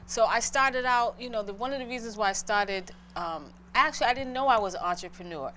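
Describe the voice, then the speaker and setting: A woman's voice: fairly high pitch, a little fast, fairly bright tone, a little hiss or hum. A middle-aged woman from Boston, a guest entrepreneur earnestly telling her own story in an interview.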